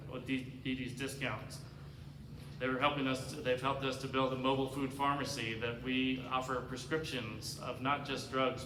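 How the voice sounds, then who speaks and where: A man speaking earnestly as a candidate at a public forum, now and then stumbling over fillers. His voice is of middling pitch and rings through a large hall with a long tail of reverb. Steady background noise sits beneath him. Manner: earnest, with some fillers and self-corrections. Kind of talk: prepared forum remarks. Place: large community cultural center hall.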